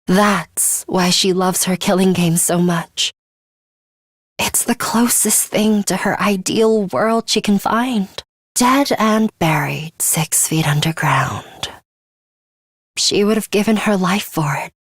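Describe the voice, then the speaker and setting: Game role: imperious, bloodthirsty young female fighter. Unhurried, formal, theatrical menace, dry close sound. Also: mid-range voice, no echo. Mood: grave, measured. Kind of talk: English video game voice acting.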